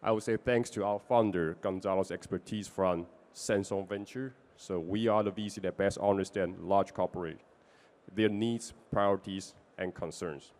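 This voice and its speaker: Taiwanese guy pitching his vc fund on stage, fairly low voice, non-native english. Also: steady tone, moderate pace, darkish tone. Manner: non-native English with small grammar slips. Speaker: venture capital investor presenting his fund. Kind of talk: business pitch presentation.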